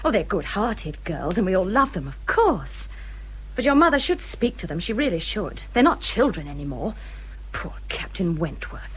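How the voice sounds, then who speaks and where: Fussy young english wife in a period radio play, self-absorbed and whiny, swoopy slightly rough voice. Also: female voice, medium pitch, very animated, moderate pace, low-quality recording. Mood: plaintive. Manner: plaintive, self-regarding, gushing. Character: self-important, fretful young married English gentlewoman and mother. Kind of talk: period radio drama acting.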